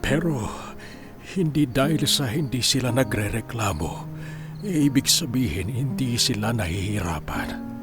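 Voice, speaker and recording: An actor playing a guilt-ridden, ailing Filipino father in a radio drama, halting and heavy-hearted. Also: medium pitch, expressive, close to an everyday voice. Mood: sorrowful, regretful. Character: guilt-ridden, ailing father grieving his family's hardship. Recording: music behind the voice.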